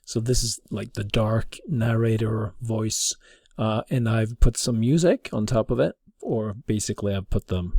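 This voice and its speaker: A man giving an informal, halting explanation as a teacher demonstrating something to a class. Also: lowish voice, darkish tone, no room sound, clean studio sound.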